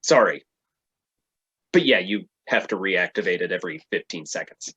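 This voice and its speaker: A man's voice: low voice, animated, normal speed, sharp tone, breathy edge, muffled recording, full character voice. A man reading the part of a guilt-ridden tech superhero in a staged play reading, with an anxious delivery. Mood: explaining.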